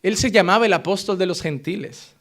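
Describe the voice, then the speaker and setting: A man from Spain preaching a sermon with a Spain Spanish accent, switching between forceful emphasis and quiet, earnest passages. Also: sits high, fairly quick.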